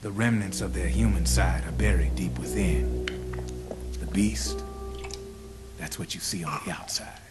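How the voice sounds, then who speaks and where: An American actor playing a slick, talkative arms dealer in a video game cutscene, with streetwise, theatrical, drawn-out phrasing. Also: male voice, low voice. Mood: grave, dramatic. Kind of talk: video game cutscene acting, explanatory monologue. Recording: loud music over the voice.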